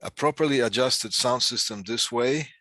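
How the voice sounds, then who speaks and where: A man giving an informal slide lecture as an instructor, explaining in short, halting phrases with asides, close on the microphone. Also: medium pitch, bright, forceful tone, dry recording. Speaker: instructor giving a class on PA systems.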